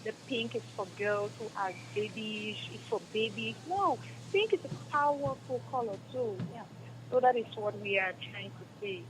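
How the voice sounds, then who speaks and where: A woman with a Cameroonian accent speaks in a fairly high voice as a guest in a radio interview. She is earnest and emphatic, often restating a phrase to press her point. Faint noise, like a vehicle rumble, sits behind her. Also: animated, normal speed. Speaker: stage and radio drama actress, interview guest. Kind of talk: radio interview, spontaneous answers.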